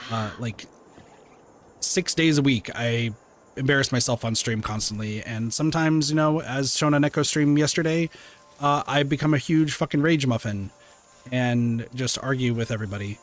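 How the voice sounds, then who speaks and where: A man's voice: mid-range voice, unhurried, pauses often. A man with a soothing voice, casually chatting with viewers while streaming a game and rambling with lots of fillers and restarts, now and then swearing mildly. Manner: mild swearing.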